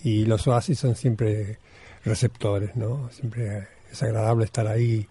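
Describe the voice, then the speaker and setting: A man's voice: lowish voice, level tone, measured pace, warm tone, slightly rough. A man with an Argentine accent, a Zen monk being interviewed, talking reflectively and searching for words, often repeating a phrase. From Buenos Aires, Argentina. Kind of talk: reflective conversation.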